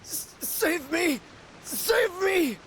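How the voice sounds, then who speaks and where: An actor playing a crazed man ranting to himself in a video game, theatrical, swinging between booming and whimpering. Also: sing-song, drawn-out words, fairly bright tone, a little hiss or hum, exaggerated voice. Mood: whimpering, desperate. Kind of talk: scripted video game voice acting.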